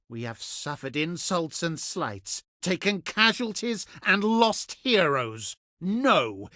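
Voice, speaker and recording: Pompous, swooping ancient Etruscan diplomat. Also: male voice, high-pitched, sharp tone, no echo, clean studio sound, full character voice. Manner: pompous, formal, deliberate emphasis. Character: haughty ancient Etruscan male diplomat, pious, cultured and condescending. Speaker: faction diplomat in a strategy game. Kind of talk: theatrical scripted game voice acting.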